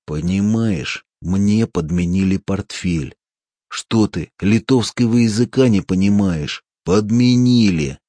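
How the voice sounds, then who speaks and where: A wiry man with steady nerves talks in a calm, dryly mocking way, as a male narrator voices him. The voice is deep and husky, recorded close and dry. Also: slow, no echo.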